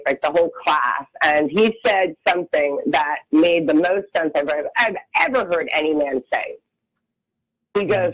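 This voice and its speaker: A woman's voice: low-pitched, highs cut off. A woman chatting candidly as a podcast guest, frank and full of restarts. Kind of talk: casual chat.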